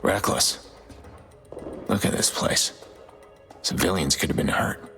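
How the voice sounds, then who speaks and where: An actor playing a gruff, weary middle-aged vigilante hacker in a video game, terse and guarded, with a gravelly, breathy voice. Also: male voice, slow pace, bright, forceful tone, full character voice. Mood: gruff, alert. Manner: terse, low-key, brooding. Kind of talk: dramatic game cutscene acting. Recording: music behind the voice.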